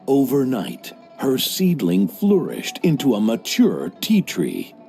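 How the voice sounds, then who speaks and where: A lively, boastful old innkeeper. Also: male voice, mid-range voice, slow, soft background music, full character voice. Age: older. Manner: boastful, animated storytelling. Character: old innkeeper, pious and gruff about his son. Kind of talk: video game voice acting.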